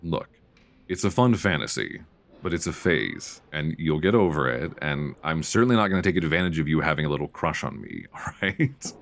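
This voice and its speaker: A man playing a mild-mannered, flirtatious middle-aged librarian who can turn quietly commanding, in an intimate, conversational ASMR roleplay on a close mic. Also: a bass voice, very animated, normal speed, vocal fry, heavily acted. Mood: gently reluctant. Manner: conversational, hesitant, teasing. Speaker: audio roleplay performer. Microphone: close binaural microphone.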